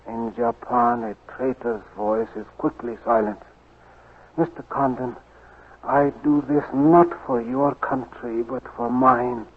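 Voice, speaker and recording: An actor playing a grave, dignified Japanese prince in a radio drama, speaking formally and solemnly in 1940s acting style. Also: male voice, medium pitch, creaky, husky, exaggerated voice. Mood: solemn conviction. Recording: muffled, phone-like 1940s radio recording.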